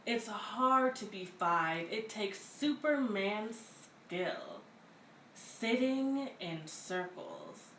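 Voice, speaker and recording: A woman reading a children's picture book aloud in an expressive, deliberate way, as a story-time reader. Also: mid-range voice. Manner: slow, deliberate, animated delivery for young children. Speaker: read-aloud story reader at a community center. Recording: roomy, distant mic, faint background noise.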